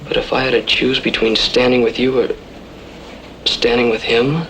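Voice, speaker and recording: A man's voice: mid-range voice, flat delivery, moderate pace, fairly bright tone, close to an everyday voice. A man playing an earnest, loyal young cowboy in an old-time radio western, speaking plain country talk. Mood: torn, sincere. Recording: faintly noisy old radio recording.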